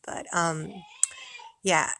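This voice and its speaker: Raspy young mother, low and gravelly. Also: flat delivery, very slow, bright, forceful tone. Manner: rambling, candid, with fillers and laughs. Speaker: mother vlogging about postpartum. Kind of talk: casual personal talk. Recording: noisy room with kids playing behind.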